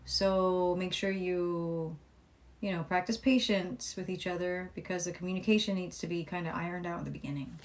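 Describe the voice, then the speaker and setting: A young adult woman giving a casual live tarot reading, with a calm, soothing voice, chatting, swearing freely and reading viewer comments aloud. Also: fairly low pitch, moderate pace. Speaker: tarot reader live-streaming.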